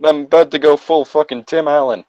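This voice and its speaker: A man's voice: a little high, fairly even intonation, fairly quick, dark tone, dry recording. A man doing a comic read-aloud with casual commentary, irreverent and sardonic, on a slightly muffled mic. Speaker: co-host and reader.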